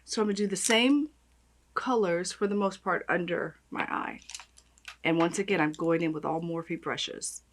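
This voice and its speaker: A woman with a raspy voice, chatting and gushing as she does her makeup on camera. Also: lowish voice, normal speed. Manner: gushing, chatty, talks while applying makeup. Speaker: beauty vlogger. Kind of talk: makeup tutorial chat.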